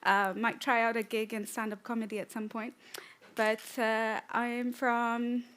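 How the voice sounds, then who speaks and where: A young woman from Tanzania giving a conference talk on stage, relaxed and joking at times. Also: on the high side, a little slow, clean tone. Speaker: conference speaker. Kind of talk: prepared talk with light humor.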